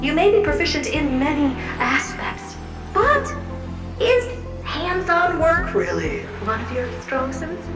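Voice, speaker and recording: A woman's voice: high-pitched, roomy sound, far from the mic, exaggerated voice. A woman voicing a floating magic mirror in a video game, a theatrical, gushing and fawning sycophant. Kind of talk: animated video game voice acting.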